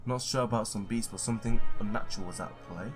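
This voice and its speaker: A man's voice: lowish voice, fast talker, over music. A man doing a let's play, reading story text aloud with informal asides and stumbling now and then over the words. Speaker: gamer reading a visual novel aloud.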